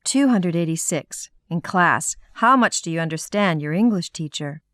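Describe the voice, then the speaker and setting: A woman with an American accent reading numbered practice sentences for an English lesson, clear and measured. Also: on the low side, expressive, fairly bright tone, no echo, clean studio sound.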